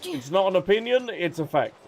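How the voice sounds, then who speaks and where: A man's voice: high-pitched, very animated, a little fast, creaky. A man casually commentating a game on a livestream in a playful, chatty way, sometimes reading text aloud. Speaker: game streamer. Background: game music.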